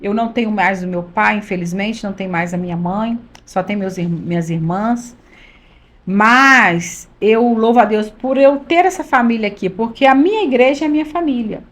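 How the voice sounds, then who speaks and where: A woman's voice: medium pitch, normal speed, fairly bright tone. A woman in her fifties, a pastor's wife from Minas Gerais, telling her life story on a podcast in an earnest, emphatic way, often repeating phrases. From Minas Gerais, Brazil. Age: 50s. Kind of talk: conversational testimony.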